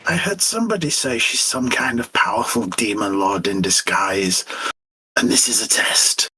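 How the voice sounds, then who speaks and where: A squat, cowardly demon, acted out by a man in an audio story. The voice is very raspy and sharp, with a nervous, hesitant whine. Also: lowish voice, moderate pace, exaggerated voice. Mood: gossipy, uneasy. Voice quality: whining, husky. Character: cowardly whining male demon, squat and fat.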